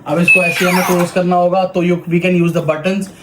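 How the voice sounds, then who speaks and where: A man's voice: high voice, little pitch movement, normal speed. An Indian man giving a casual product demo as a toy shop presenter, explaining step by step and mixing Hindi with English. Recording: echoey room, background noise and clicking.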